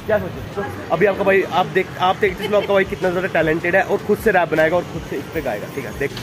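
A man's voice: sits high, quick pace, background noise. A young man with a sore throat, vlogging casually and playfully to a phone held at arm's length, first on a busy market street and then at home. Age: young adult. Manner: playful, teasing, keeps saying "guys" and "okay". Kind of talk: casual daily vlog. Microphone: phone camera held at arm's length.

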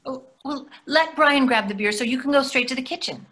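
Woman playing placating wife, bright voice. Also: sits high, fairly quick. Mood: reproachful. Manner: placating, chatty. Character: eager-to-please, smoothing-over empty-nester wife. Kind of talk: play reading, restaurant comedy. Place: indoors on a video call. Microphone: video call microphone.

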